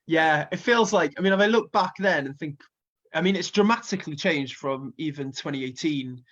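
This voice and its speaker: A man's voice: a little high, moderate pace, fairly bright tone, lo-fi sound. A man with a Welsh accent and a slight cold, chatting casually and rambling with plenty of fillers as a festival booker being interviewed. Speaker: festival co-founder and music booker.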